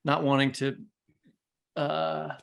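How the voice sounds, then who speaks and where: Man, gravelly creak, podcast interviewer, casual, encouraging, roundabout phrasing. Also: a little high, little pitch movement, takes it slowly. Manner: informal, encouraging, circling toward his point.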